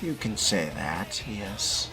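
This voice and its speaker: Fledgling vampire male performer: very deep, lively intonation, reserved and terse, game role-play. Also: slow, music in the background, rough recording. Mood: guarded. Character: male newly turned vampire, guarded and terse.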